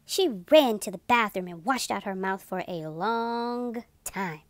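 A woman reading a picture book aloud to children as a storytelling host, with expressive, drawn-out playful emphasis. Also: medium pitch, dry recording.

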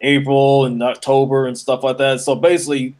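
Mid-pitched American man chatting casually. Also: level tone, fairly quick, darkish tone. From Kentucky, United States. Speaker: podcast co-host. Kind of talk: casual podcast chat. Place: plain room at home, indoors.

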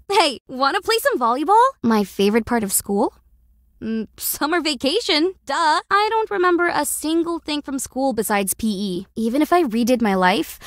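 A woman's voice: sits high, no room sound, studio quality. A woman voicing a brash, tomboyish young stunt actress with an Australian accent, delivering game voice lines. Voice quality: cocky, brash, scrappy. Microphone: close studio mic.

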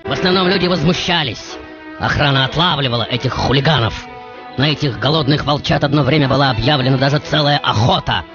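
A man playing a passionate, self-assured tango musician who tells his life story in dramatic, deliberate phrases. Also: medium pitch, natural voice. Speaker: radio show host. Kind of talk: theatrical first-person storytelling as a famous musician. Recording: dull radio recording with music.

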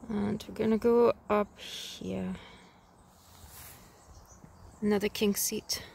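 A woman talks as she walks up a hill trail, musing and often half-whispering to the camera. Her voice is gravelly with creak, and her pitch stays flat and even. The outdoor recording carries clear noise behind her. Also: slow pace. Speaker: hiking vlogger. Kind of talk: casual hiking commentary.

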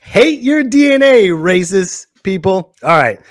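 A man's voice: high voice, measured pace, no room sound. A man in his thirties hosting a comedy show in a casual, exclamatory way, speaking close to a podcast microphone. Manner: animated, exclamatory. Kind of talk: casual comedic monologue and interview. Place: indoor home studio, dry. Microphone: close podcast microphone, headset.

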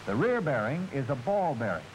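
A man's voice: medium pitch, very animated, fairly quick, darkish tone. Hissy 1940s American male instructional narrator. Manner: formal, clearly enunciated, instructive. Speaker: training film narrator. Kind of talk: scripted instructional narration, 1940s military film. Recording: hissy, noisy 1940s film soundtrack.

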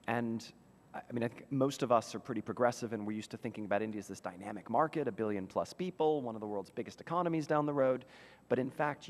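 A man's voice: mid-range voice, darkish tone. A man in his forties testifying at a hearing, explaining fluently and confidently into a desk microphone. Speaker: witness at a hearing. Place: large wood-panelled hearing room. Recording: long reverb of a large hearing room.

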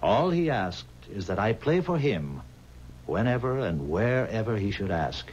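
An actor playing a haunted, pleading musician bound to the devil, speaking earnestly and formally in dramatic 1970s radio style. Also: male voice, fairly low pitch, very animated, unhurried, darkish tone. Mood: haunted, regretful. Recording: dull, hissy 1970s radio recording.

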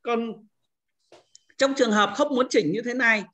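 A man's voice: extremely high pitch, normal speed, bright, forceful tone. A man giving an informal screen-recorded tutorial as an instructor, explaining things step by step and often repeating himself.

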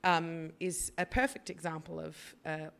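An Australian woman speaks on a theatre stage into a stand microphone, her voice husky from a cough. She sits a little low in pitch and talks in a chatty, wry way, full of fillers. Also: flat delivery, a little slow, fairly bright tone. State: has a cough. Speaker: panelist, writer. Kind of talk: casual panel talk. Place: theatre stage before an audience.

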